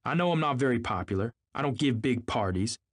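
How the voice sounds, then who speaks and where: A man's voice: mid-range voice, lively intonation, brisk, no echo, pitched above the performer's own voice, close to an everyday voice. A man voicing an indignant, sneering, pompous husband in an audiobook. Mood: indignant, incredulous. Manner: pompous, incredulous, snapping. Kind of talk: audiobook character acting.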